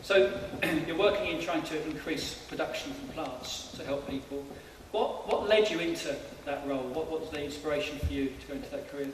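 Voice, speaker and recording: A man's voice: fairly high pitch, a little fast, hardly any pauses. A man interviewing someone informally in front of people in a church, speaking in a slightly hesitant way. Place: large church hall, indoors. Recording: echoey church hall, distant mic, faint noise.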